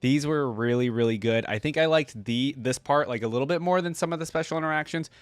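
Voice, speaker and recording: Man, reaction video host, casual commentary, medium pitch, dry close recording. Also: quick pace, no echo.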